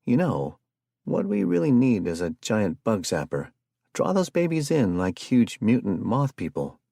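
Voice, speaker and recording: A man voicing an excitable, geeky young man who rambles in a high, theatrical voice. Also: unhurried, gravelly, no echo, clean studio sound, exaggerated voice. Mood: excited.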